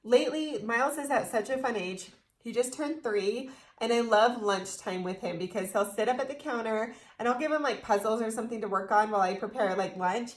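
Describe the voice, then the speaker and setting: A woman's voice: on the high side, fairly quick. An American woman in her thirties chatting casually and playfully with her partner as co-host of a fan video. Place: indoors in front of a logo backdrop.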